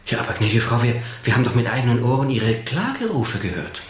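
An actor playing a gallant, blustering, easily baffled adventurer with formal, emphatic manners in a German radio drama. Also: male voice, mid-range voice, sing-song, fast talker, close to an everyday voice. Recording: echoey room.

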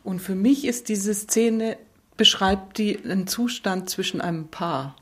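Mid-pitched woman in thoughtful discussion. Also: unhurried, a bit bright, husky. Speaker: interviewer, conversation partner. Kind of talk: intellectual conversation.

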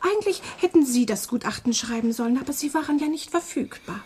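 An actress playing a chatty, teasing female publishing colleague in a German radio drama, her voice breathy and husky. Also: sits high, animated, fast, darkish tone, a little hiss or hum.

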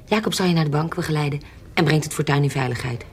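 An actress playing a shrewd, self-possessed adventuress in a Dutch radio drama, guarded and evasive. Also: female voice, low voice, fast talker, slightly rough. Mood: calm, guarded.

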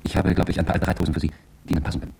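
Low, gravelly, coolly polite horseman. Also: steady tone, very fast, darkish tone, dry recording, in a whisper, full character voice. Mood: cool, instructing. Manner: cool, precise, courteous, instructing. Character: Chinese army horseman, coolly polite, determined gentleman. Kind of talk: radio drama dialogue.

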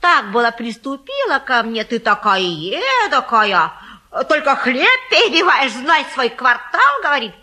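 An actress playing a garrulous, folksy old Russian matchmaker in a stage comedy, coaxing and boasting theatrically. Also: female voice, high-pitched, big pitch swings, a little slow, sharp tone, exaggerated voice. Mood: breathless complaining. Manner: folksy, garrulous, effusive, sing-song coaxing. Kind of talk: theatrical stage-comedy acting of a classic play.

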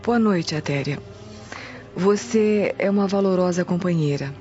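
A woman with a Brazilian accent playing a gentle, worried Roman noblewoman in a radio drama. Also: on the low side, measured pace, soft background music, lightly acted. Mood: subdued worry. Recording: dull, muffled studio track.

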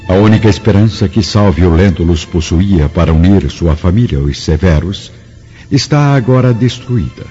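A man with a fairly low voice narrates a radio drama in solemn, literary phrasing. The sound is slightly muffled, with clear music underneath. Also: expressive, normal speed, mellow. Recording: muffled studio recording with music.

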